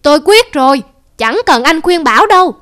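A woman's voice: sits high, very animated, moderate pace. A woman voicing an envious, stubborn male goatherd in a dramatic cartoon dub, given to exclaiming and scheming to himself. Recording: music behind the voice.

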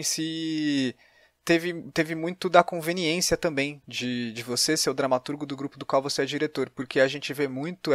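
A man's voice: on the high side, fairly bright tone, dry recording, studio quality. A man with a Brazilian accent hosting a casual podcast interview. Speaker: podcast host.

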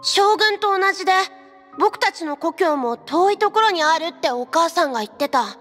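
A tiny, trusting village boy for a game, extremely high and bright. Long reverb surrounds the voice, with music beneath. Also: lively intonation, moderate pace. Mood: innocent, curious. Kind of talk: Japanese game voice acting.

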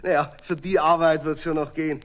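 Obliging mechanic for audio drama, male actor: cautious, instructive, Bavarian, slightly high voice. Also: steady tone, a little fast, lightly acted. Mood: cautioning. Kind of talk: German children's radio play acting.

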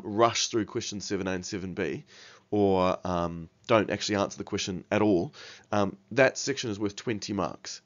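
A man with a slight cold gives a revision lesson in a lowish, somewhat nasal voice. He talks through slides with plenty of ums and uhs. The recording sounds a bit dull and lo-fi. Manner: many fillers like um and uh, restarts. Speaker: teacher. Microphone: voice-over on slides.

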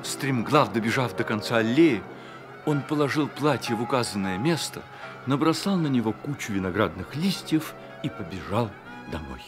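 A man narrating a literary radio drama as an expressive, measured storyteller. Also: medium pitch, over music, close to an everyday voice. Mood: hushed suspense. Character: storyteller of a boy's secret adventure.

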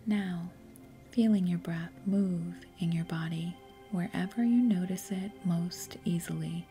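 A woman leading a guided meditation in a soft, breathy, gentle and soothing way, with unhurried pauses. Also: on the low side, steady tone. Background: faint music.

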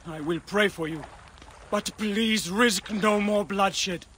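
A gentle, pious Egyptian priest. He speaks solemnly in a high, troubled voice with a pleading edge. Faint ambience lies behind him. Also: slow pace, slight background noise. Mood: grieved, pious.

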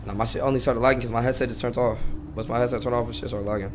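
A man's voice: medium pitch, fairly even intonation, very fast. A young man from California chatting casually in slang during online role-play, playfully argumentative and repeating himself, on a noisy headset. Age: young adult. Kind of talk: casual slangy in-character chat. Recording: noisy game voice chat, background noise.

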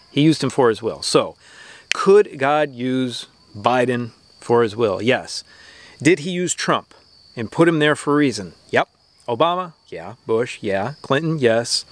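A man's voice: mid-range voice, no room sound. Preachy man talking to camera outdoors. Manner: preachy and exhortative, with emphatic repetition. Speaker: homesteading channel host. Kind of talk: earnest faith-based vlog talk to camera. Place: outdoors in a backyard garden.

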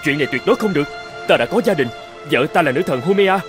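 Guy playing brawny captive chief in a cartoon, kinda high for a man, earnest n worried, loud music behind. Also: lively intonation, fast talker, natural voice. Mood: alarmed, defiant. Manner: earnest, pleading. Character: brawny captive island chieftain, anxious but honorable. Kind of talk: dubbed fairy-tale cartoon acting.

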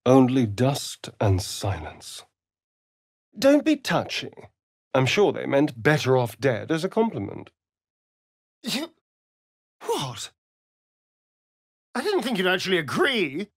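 A man's voice: fairly high pitch, wildly swooping pitch, takes it slowly, pauses often, dry recording, clean studio sound, exaggerated voice. A man playing a sardonic, vain vampire spawn in a fantasy video game, with an arch, theatrical drawl. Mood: sardonic, musing.

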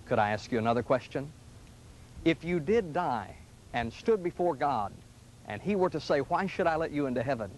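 A middle-aged man, a pastor, speaking in an earnest, gentle, inviting tone in scripted television ads from the 1980s. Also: on the high side, lively intonation, normal speed, a little hiss or hum, rough recording. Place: studio sets and church rooms, indoors. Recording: 1980s local TV ad audio.

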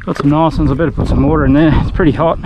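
A man's voice: fairly high pitch, steady tone, very fast, lo-fi sound, background noise. A man with an Australian accent talking casually to an action camera while fishing from a small boat in a creek, chatty and swearing now and then. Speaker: fishing vlogger. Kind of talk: casual outdoor narration to camera.

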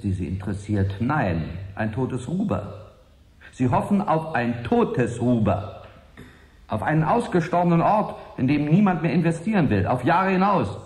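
A man's voice: fairly high pitch, a little mellow, roomy sound. An actor playing a principled, wary and determined scientist in a radio drama, earnest and indignant in his delivery. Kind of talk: 1980s German radio drama acting.

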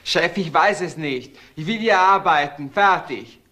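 A man's voice: fairly high pitch, unhurried, sharp tone. A man playing a stubborn, defensive waiter in a crime radio drama, insistent and agitated.

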